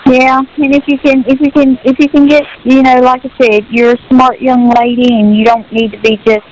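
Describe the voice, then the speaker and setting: An older woman on a personal phone call, speaking casually and earnestly giving advice in a halting, repetitive way. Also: high voice, flat delivery, a little mellow. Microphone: telephone. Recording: hissy, noisy phone call.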